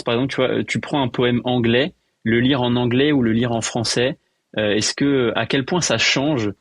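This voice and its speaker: A man's voice: on the low side, no echo. A man hosting a podcast, asking rambling questions broken up by constant fillers. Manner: halting questions full of "euh". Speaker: podcast host. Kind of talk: casual conversation.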